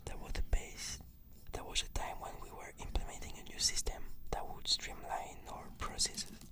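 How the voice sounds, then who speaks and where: A woman speaks in a breathy whisper, giving answers as a client in an ASMR therapy roleplay. Her delivery is measured and earnest, as if reading from a script. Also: sharp tone, no room sound.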